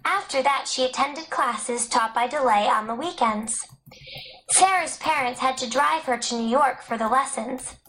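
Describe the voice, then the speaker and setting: A woman narrator with a high voice reads scripted educational material aloud. She delivers it as prepared narration for learners. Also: fairly bright tone, low-quality recording. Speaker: audiobook narrator.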